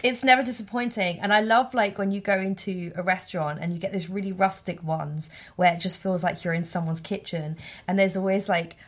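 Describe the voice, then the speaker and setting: A woman's voice: medium pitch, fairly even intonation, brisk, few pauses. A woman hosting a podcast, leading the conversation in an enthusiastic way and often saying "like". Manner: bubbly, enthusiastic, says "like" often. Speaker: podcast host. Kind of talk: casual interview chat.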